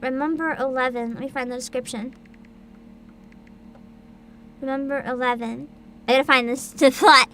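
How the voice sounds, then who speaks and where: A woman's voice: high voice, fairly even intonation, normal speed, long pauses, sharp tone, a little hiss or hum. A woman hosting a livestream, chatting casually and reading bits aloud in a bubbly, enthusiastic manner. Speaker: virtual streamer host.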